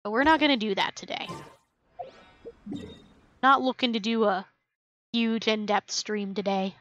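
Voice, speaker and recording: A woman's voice: a little high, unhurried, fairly bright tone, no echo, muffled recording. A woman livestreaming a game, chatting casually and cutely to her viewers as she plays. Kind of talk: casual livestream chat while playing.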